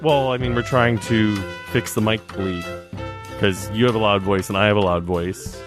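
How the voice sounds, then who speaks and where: Chatty man, low voice. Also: fairly even intonation, moderate pace, over music. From Canada. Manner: storytelling, chatty with frequent ums. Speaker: podcast co-host. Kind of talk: casual chat.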